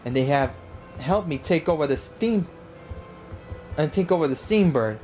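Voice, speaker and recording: Woman doing a smug game villain, pompous n gloating, voice pushed lower, bit of music behind. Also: animated, moderate pace, darkish tone. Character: smug, scheming, self-righteous villain, sanctimonious schemer.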